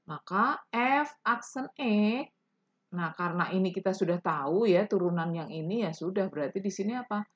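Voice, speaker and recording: A woman's voice: medium pitch, lively intonation, moderate pace, lo-fi sound. A woman teaching a math lesson, explaining each step patiently and answering imagined student questions.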